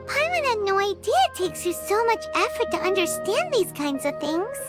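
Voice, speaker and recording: A woman voicing a tiny floating fairy girl companion, high-pitched and bouncy, in a game with music behind. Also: very animated, normal speed. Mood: cheery. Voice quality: high-pitched, bouncy, talks of herself in third person. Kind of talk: game voice acting.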